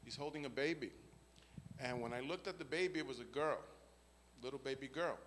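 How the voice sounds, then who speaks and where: A man's voice: medium pitch, fairly quick, a bit bright, in a large hall, slight background noise. A man, giving an earnest spoken faith testimony and often checking in with "right?" and "okay?". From the Bronx, New York. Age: 50s.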